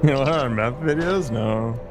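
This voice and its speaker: A man's voice: mid-range voice, normal speed. A man casually commenting while playing a video game, rambling and joking offhand. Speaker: gameplay streamer. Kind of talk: casual let's-play commentary.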